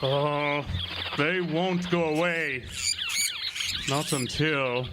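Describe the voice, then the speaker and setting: Man playing a slow-spoken, commanding chief keeper. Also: on the high side. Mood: grim alarm. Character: steady, commanding head lighthouse keeper, a man. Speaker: actor in a radio drama. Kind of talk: radio drama acting. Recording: noisy live outdoor stage recording.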